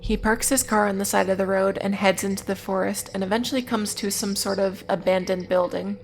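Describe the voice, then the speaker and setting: Woman narrating a video essay, flattish intonation. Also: medium pitch, brisk. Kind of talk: scripted narration with casual asides. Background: music.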